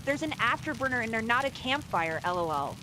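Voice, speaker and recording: A synthetic woman's voice reading out chat messages in a flat automated way. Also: fairly high pitch, brisk, a little hiss or hum, rough recording. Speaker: text-to-speech chat reader.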